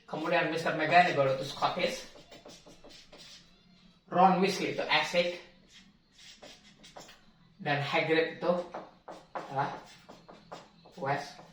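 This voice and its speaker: A man's voice: on the high side, fairly even intonation, slow, long pauses, a bit bright, far from the mic, faint music behind. Chatty young man in echoey room. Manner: chatty, slangy, self-correcting. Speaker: language tutor. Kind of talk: casual explainer video. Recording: echoey room, away from mic.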